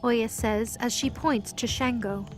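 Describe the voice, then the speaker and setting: A woman's voice: on the high side, fairly even intonation, unhurried, faint music behind. A woman narrating a scripted audio drama as its storyteller.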